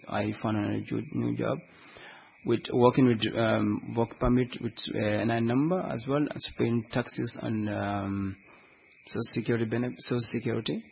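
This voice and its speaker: A man speaking at a panel discussion about his own experience, halting and repeating words as he searches for them. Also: mid-range voice, creaky, a little hiss or hum. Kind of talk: unscripted discussion. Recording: dull lo-fi recording.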